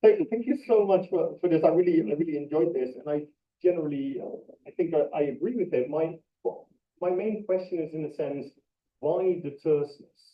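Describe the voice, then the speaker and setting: A man in the audience poses a question from far off the microphone, halting and doubling back on his words. His voice sits a little high for a man and rings in the roomy hall. The sound is dull. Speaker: audience member asking a question. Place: lecture hall.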